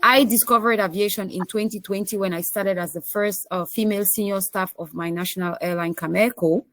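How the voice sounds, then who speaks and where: A woman's voice: medium pitch, steady tone, a little fast, a bit bright, no echo, soft background music. A woman with a Cameroonian accent, introducing herself as a panelist. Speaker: panelist, association president.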